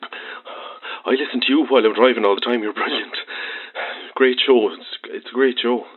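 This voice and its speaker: An actor playing a frightened, agitated Irish truck driver who stammers through a late-night phone-in in an audio drama. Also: male voice, mid-range voice, fairly even intonation, breathy edge. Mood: nervous, halting. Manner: halting, stammering, rambling colloquial storytelling. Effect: phone line. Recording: muffled, tinny phone line.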